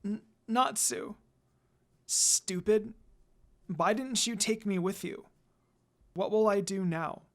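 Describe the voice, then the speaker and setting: A man acts out a lonely young heroine from a fan fiction story. The voice is high, plaintive and halting, with a tearful temper. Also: gravelly, full character voice. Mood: hurt, resolving.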